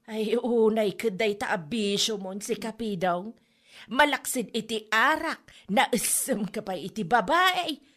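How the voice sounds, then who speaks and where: High-voiced, scolding Ilocano village woman played by a woman. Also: unhurried, fairly bright tone. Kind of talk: comedy drama podcast acting.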